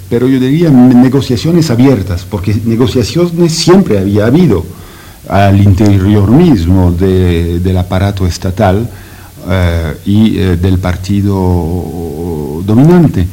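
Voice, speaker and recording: A man with a French accent answering interview questions in careful, halting speech full of hesitations and restarts. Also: lowish voice, warm tone. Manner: slow, halting with many "eh" fillers and restarts. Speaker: interview guest, French academic.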